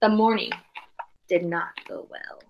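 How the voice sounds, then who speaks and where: A woman's voice: medium pitch, steady tone, measured pace, highs cut off, a little hiss or hum. A woman reading a picture book aloud to young children like a teacher, expressively, with explanatory asides and questions.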